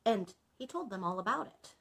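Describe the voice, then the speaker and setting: A woman's voice: mid-range voice, animated, normal speed, a bit bright, husky. A woman reading a children's story aloud as a librarian, expressively, with small asides to listeners.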